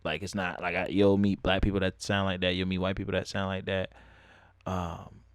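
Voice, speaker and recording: A man's voice: low-pitched, completely flat delivery, normal speed, smooth, no room sound. A young man from Atlanta with a Southern accent, telling a loose, profane story in casual talk and laughing often. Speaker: vlogger.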